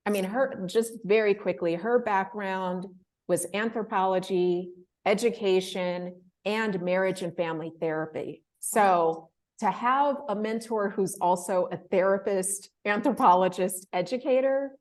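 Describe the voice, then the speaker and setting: A woman in her thirties, a guest in an interview, telling her story in a casual, animated way, laughing often and acting out the voices of people she quotes. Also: medium pitch, a little slow. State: emotional, close to tears. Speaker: interview guest, fellowship winner.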